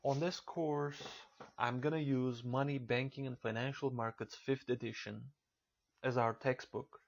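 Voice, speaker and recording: A man in his thirties from Turkey with a Turkish accent, delivering a recorded online college lecture in a measured, careful way. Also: mid-range voice, steady tone. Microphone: computer mic for a narrated slideshow.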